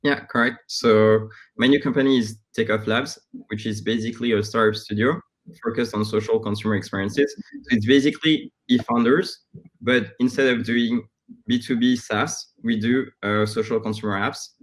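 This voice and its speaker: A man in his thirties from France with a French accent, giving halting, conversational answers as a startup founder being interviewed. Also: medium pitch, fairly even intonation, rough recording. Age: 30s.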